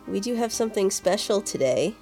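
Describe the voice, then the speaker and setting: A woman's voice: medium pitch, expressive, a little fast, a little mellow. A woman co-hosting a podcast, chatting casually and informally about video game music. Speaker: podcast co-host.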